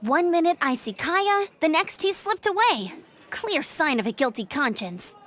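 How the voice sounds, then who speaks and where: A woman voicing a tiny, cheeky, childlike fairy companion in a video game, squeaky and nosy. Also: sing-song, unhurried, fairly bright tone, slight background noise, exaggerated voice. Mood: suspicious. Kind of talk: scripted video game dialogue.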